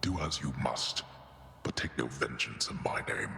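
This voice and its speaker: A man's voice: a bass voice, normal speed, gravelly, pushed and bright, roomy sound, in a large hall, a little hiss or hum, full character voice. An actor playing a stern, world-weary immortal father in a video game cutscene, formal and imperious, with archaic phrasing. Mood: bitter resignation.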